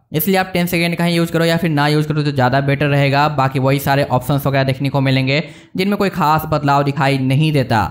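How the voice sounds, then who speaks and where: A man with an Indian accent hosts a tech review in a voice pitched a little high. He explains each feature informally, step by step, and addresses the viewers directly as he narrates. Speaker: tech reviewer and channel host. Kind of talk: casual screen-walkthrough narration of a phone software review.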